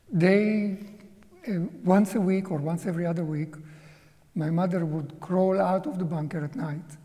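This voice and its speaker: Man, 70s, native Hungarian speaker, from Czechoslovakia, halting reflective testimony, slow talker, auditorium reverb. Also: on the high side, warm tone. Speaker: interview guest. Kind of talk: personal testimony. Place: museum auditorium.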